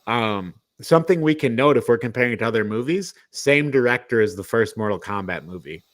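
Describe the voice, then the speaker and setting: A man's voice: medium pitch, animated, brisk. A man hosting a podcast, reviewing films in a casual, conversational and opinionated way. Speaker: podcast host. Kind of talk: casual film review chat.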